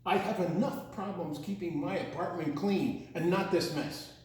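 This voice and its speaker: Echoey, high-pitched male storyteller. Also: darkish tone. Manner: theatrical, playful, drawn-out words. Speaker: children's storyteller. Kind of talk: animated read-aloud storytime with asides and sound imitations. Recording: very echoey room.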